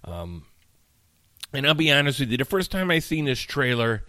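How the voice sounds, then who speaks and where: A man's voice: medium pitch, sing-song, normal speed, sharp tone, dry recording, studio quality. A man hosting his own podcast in a casual, rambling chat full of fillers, sounding tired and a bit nasal while he recovers from illness. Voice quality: slightly nasal. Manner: chatty, rambling, with frequent fillers and restarts. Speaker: podcast host. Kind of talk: casual solo podcast rant. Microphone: studio mic.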